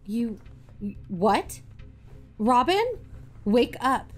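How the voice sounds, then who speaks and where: An actress playing an earnest investigator who hosts a mystery podcast in an audio drama. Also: female voice, fairly high pitch, very animated, very slow, faint music behind, full character voice. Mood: startled, flustered. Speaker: podcast host character and investigator. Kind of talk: audio drama narration and dialogue.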